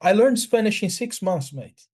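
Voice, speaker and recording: A man from Brazil with an Italian accent, a native speaker of Italian and Portuguese, chatting casually in a halting, blunt way. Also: sits high, lively intonation, darkish tone, husky. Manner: halting, repetitive, blunt with swearing. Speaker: language learner. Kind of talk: casual chat.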